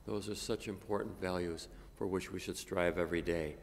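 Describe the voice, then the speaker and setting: An older man, a priest, reading a gospel and preaching a homily at a lectern microphone, measured and halting with many fillers. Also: deep voice, steady tone, creaky. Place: cathedral chapel, at a lectern. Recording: long reverb of a chapel.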